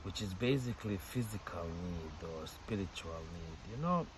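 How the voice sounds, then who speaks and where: Man, middle-aged, low voice, reflective and rambling, outdoor recording with traffic noise. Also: lively intonation, moderate pace. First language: Bosnian. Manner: rambling and musing, with many "you know" fillers and soft laughs. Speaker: vlogger. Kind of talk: casual reflective monologue. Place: outdoors on a bench by a lake.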